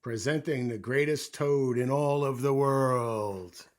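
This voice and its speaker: A man voicing a strange, grand announcer from a storybook dream, calling out ceremoniously in a booming way. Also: takes it slowly, lightly acted.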